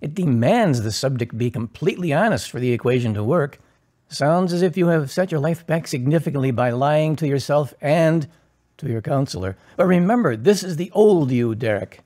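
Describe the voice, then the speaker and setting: A man playing a calm, earnest parish priest in an audio drama, counseling in a measured, instructive way. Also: fairly high pitch. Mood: earnest, encouraging.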